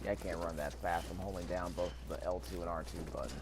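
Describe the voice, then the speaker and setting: A man with a fairly low voice gives casual commentary on a video game, rambling as he thinks out loud. There is clear background noise behind him. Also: steady tone, fast talker. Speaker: gameplay video host. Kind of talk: casual let's-play commentary.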